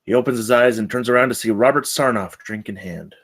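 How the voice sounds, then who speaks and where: A man's voice: mid-range voice, brisk, a bit bright. A man narrating stage directions from a screenplay at a table read.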